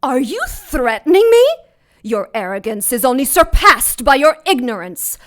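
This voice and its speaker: A woman's voice: sits high, big pitch swings, normal speed, a bit bright. A woman playing an ancient demon queen in an audio drama, imperious, disdainful and threatening. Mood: indignant, imperious. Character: ancient demon queen, haughty, menacing mother of monsters.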